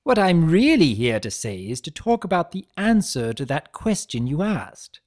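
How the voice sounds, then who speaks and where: A playful, theatrical spirit of chaos from a storybook, put on by a man. The voice is high and swoops about with energetic, teasing mischief. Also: normal speed. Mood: cheerful, playful.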